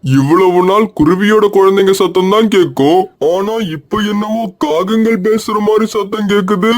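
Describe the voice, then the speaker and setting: A man's voice: high voice, normal speed, no room sound, studio quality, natural voice. A man voicing an old magic neem tree in a cartoon, stern and solemn. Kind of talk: animated cartoon voice acting.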